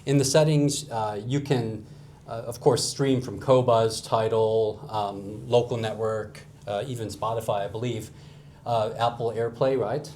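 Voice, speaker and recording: A man's voice: medium pitch, steady tone, measured pace, a little mellow, a little hiss or hum. A man casually explaining a product as an audio dealer.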